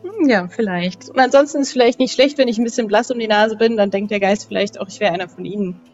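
A woman speaks casually in character as a role-playing game player. Her pitch is average. The recording is a bit dull, with soft music underneath. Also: quick pace.

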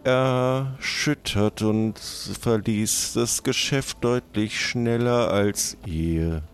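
Man playing a slow, cagey, formal shopkeeper. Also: mid-range voice. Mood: guarded, grave. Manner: deliberate, formal, drawn-out pauses. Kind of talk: improvised pirate adventure role-play.

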